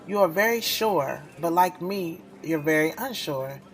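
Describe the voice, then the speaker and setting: Actress as a low-voiced, sassy, guarded woman. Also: lively intonation, a little slow, low-quality recording. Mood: searching, doubtful. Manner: candid, conversational, street-smart with mild swearing. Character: guarded, sassy, skeptical young woman poet, first-person narrator. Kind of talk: audio drama dialogue and first-person narration. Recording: music playing behind the voice.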